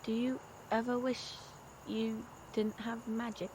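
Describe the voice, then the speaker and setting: A woman's voice: fairly high pitch, level tone, takes it slowly, noisy background. A woman playing a curious, earnest young boy in an audio drama, asking questions in a hesitant way.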